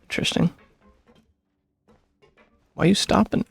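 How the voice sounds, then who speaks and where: A man's voice: a little slow, soft background music. A young man casually commenting while he plays a game, with offhand, musing remarks. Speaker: gameplay streamer.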